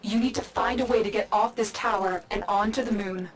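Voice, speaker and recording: A woman voicing a calm, commanding mission guide. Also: medium pitch, fairly quick, close to an everyday voice, rough recording. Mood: urgent. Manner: composed, firm instructions.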